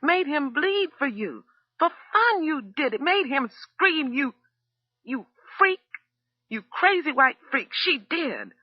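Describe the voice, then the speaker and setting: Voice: sing-song, normal speed, slightly rough, no echo, lo-fi sound. A reader voicing a furious middle-aged woman cook who screams accusations and then breaks down crying, in an audiobook. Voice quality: shrill, screaming. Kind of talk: audiobook character voice.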